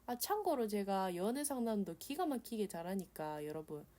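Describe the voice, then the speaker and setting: A woman's voice: medium pitch, very animated, normal speed, dark tone. A woman with a regional South Korean dialect, giving a casual drawing tutorial voice-over in a blunt, chatty, colloquial way. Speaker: art tutorial host.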